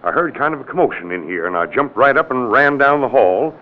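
A man playing an excitable, earnest, folksy small-town police chief in a 1940s radio crime drama. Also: medium pitch, a little fast, close to an everyday voice. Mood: earnest recounting. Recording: noisy, narrow-band old radio recording.

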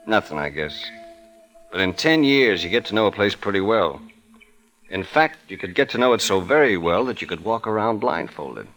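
A man's voice: lowish voice, expressive, normal speed, fairly bright tone, rough recording. An actor playing a weary, suspicious police detective in his thirties, clipped and insinuating, in 1950s hardboiled radio style. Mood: insinuating, dry. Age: 30s. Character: weary, suspicious 37-year-old New York police detective. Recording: old radio drama recording.

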